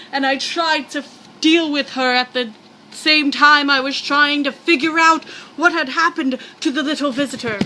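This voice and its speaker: A woman with a high, bright voice reads a spooky tale aloud at an unhurried pace. She projects theatrically and playfully, halting here and there. There is clear background noise. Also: rough recording. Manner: projecting loudly. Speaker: storyteller reading aloud. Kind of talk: dramatic amateur read-aloud of a scary story.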